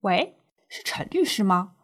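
A woman's voice: medium pitch, sing-song, a little slow. A woman playing a distressed, indignant older snack shop owner in a radio drama, plaintive and full of colloquial exclamations. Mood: flustered, upset.

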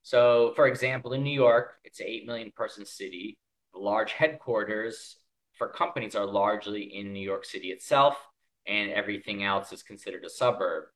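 A man's voice: fairly low pitch, moderate pace, a bit bright, poor audio. A man from Connecticut with an American accent, casually telling his own story on a podcast. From Connecticut, United States. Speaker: podcast co-host.

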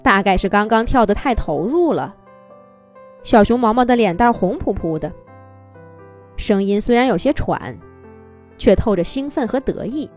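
A woman narrating a children's story in a gentle, expressive, singsong way. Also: medium pitch, moderate pace, pauses often. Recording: soft background music.

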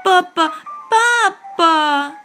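A woman voicing an excitable, affectionate newborn duckling in a storybook, with childlike, pleading calls. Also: very high-pitched, extremely slow, pitched above the performer's own voice, exaggerated voice. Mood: excited, insistent. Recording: soft music behind the voice.

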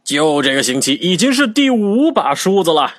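A man playing a fond, puzzled king and father in a children's audio drama, speaking in a doting, expressive way. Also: fairly high pitch, normal speed, dry recording, soft background music. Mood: exasperated.

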